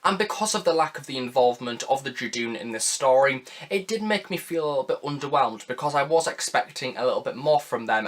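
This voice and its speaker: A young man reviewing for viewers in a chatty, wordy way, often softening his opinions. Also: medium pitch. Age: young adult. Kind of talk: scripted-sounding audio drama review, talking to viewers.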